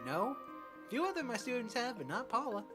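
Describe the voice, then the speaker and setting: A performer voicing a blunt, teasing old male gym coach in a high, bouncy put-on voice in a fan dub. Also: fast talker, over music, lightly acted. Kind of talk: amateur fan dub of a medical drama.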